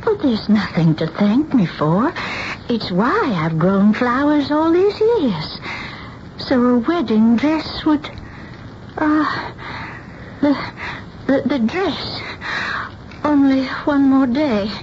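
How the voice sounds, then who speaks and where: Fluttery, slow-speaking eccentric dressmaker. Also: female voice, high-pitched, lively intonation, a little mellow. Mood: dreamy, pleading. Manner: fussy, halting, repeats words. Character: fluttery, eccentric, doting older spinster dressmaker. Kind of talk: radio drama acting. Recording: dull, slightly noisy 1970s radio recording.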